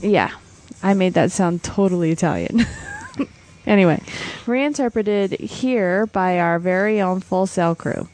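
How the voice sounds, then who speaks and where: A woman's voice: lowish voice, a little slow, a little mellow. A woman co-hosting a podcast, chatting casually in a playful, teasing way and giggling often. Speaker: podcast co-host. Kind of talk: casual chat.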